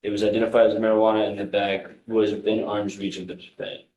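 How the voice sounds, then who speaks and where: A man with an American accent formally reading out a report as a prosecutor in court, in a procedural monotone. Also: on the low side, fast talker, muffled recording, slight background noise. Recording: echoey courtroom sound.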